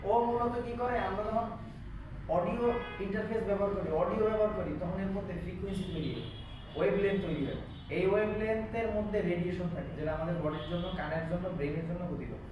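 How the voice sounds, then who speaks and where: Fast-talking Bangladeshi male instructor, echoey room. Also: high-pitched, muffled recording. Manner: explanatory, often asking check questions. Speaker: electronics repair instructor. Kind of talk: interactive classroom teaching. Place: classroom, indoors. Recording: echoey room, distant mic, background noise.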